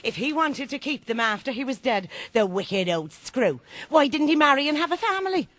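A bitter British charwoman from Victorian times, with a somewhat high voice. She is scornful, self-righteous and insistent, a shameless thief in a radio drama. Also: sing-song, normal speed, a bit bright, dry recording.

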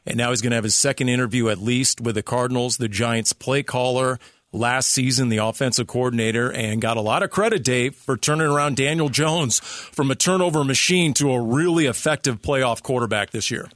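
A man's voice: mid-range voice, fairly quick, fairly bright tone, dry recording, clean studio sound. A man hosting a sports radio show, talking in a hyped, theatrical, emphatic showman style.

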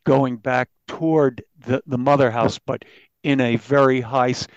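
A man, a newspaper reporter, explaining the details of a case in an interview in a halting, detailed way. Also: mid-range voice, fairly even intonation, clear, resonant tone, dry recording, muffled recording.

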